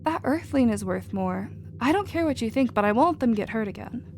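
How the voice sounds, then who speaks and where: A woman playing a protective, nurturing female alien with a casual, expressive manner in a sci-fi ASMR roleplay. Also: fairly high pitch, a little fast, a little hiss or hum, close to an everyday voice. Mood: indignant, pleading.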